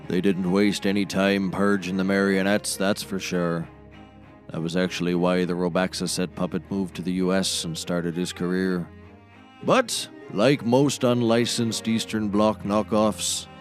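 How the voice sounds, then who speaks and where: A man's voice: sits low, a little slow, soft background music. An actor playing a matter-of-fact, salesmanlike male sidekick, a shady ex-mercenary, in a scripted comedy. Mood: matter-of-fact reminiscing. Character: gruff male sidekick, shady ex-mercenary turned product pitchman. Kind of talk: scripted comedy audio drama.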